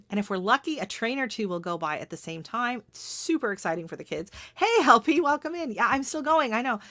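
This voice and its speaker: A middle-aged Canadian woman hosting a casual livestream chat, laughing often and greeting viewers by name, her voice starting to go hoarse. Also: fairly high pitch, animated, brisk, sharp tone, no room sound, clean studio sound. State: voice going hoarse. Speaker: gardening channel host.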